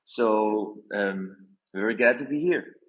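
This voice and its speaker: Low-voiced man. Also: a bit bright, poor audio. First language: not English. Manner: modest, halting English. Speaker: panel guest, company executive. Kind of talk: introducing himself on a panel.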